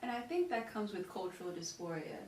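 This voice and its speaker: A woman's voice: medium pitch, very animated, brisk, darkish tone. A woman from the United States, a guest and beauty brand founder, speaking earnestly and reflectively from her own experience. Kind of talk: casual conversational interview. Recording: roomy echo, distant mic, faint hiss.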